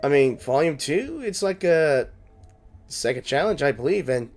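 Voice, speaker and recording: A man's voice: fairly high pitch, lively intonation, a little slow, darkish tone. A man doing casual, rambling game commentary, thinking aloud as host. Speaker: gaming video host. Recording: game music behind the voice.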